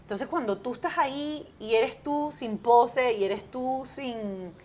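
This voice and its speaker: A woman's voice: on the high side, slow pace, a little mellow, a little hiss or hum. A Venezuelan woman, a television presenter, chatting in an interview and telling stories animatedly, with frequent restarts and fillers. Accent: Venezuelan Spanish. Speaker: guest, TV presenter. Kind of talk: casual interview chat.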